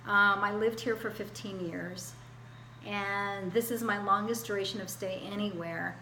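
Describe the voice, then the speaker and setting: Woman in her 40s, bright voice, lots of ums and restarts, echoey room w/ faint hiss. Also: medium pitch, fairly even intonation, unhurried. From Hawaii, US. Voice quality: hesitant, many fillers and restarts. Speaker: student. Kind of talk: self-introduction video.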